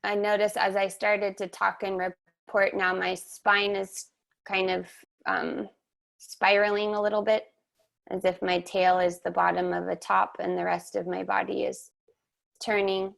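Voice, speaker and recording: A woman hosting a webinar, speaking in a slow, measured, reflective way over a video call. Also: medium pitch, level tone, creaky, fairly bright tone. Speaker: webinar host and somatic teacher. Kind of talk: reflective guided conversation.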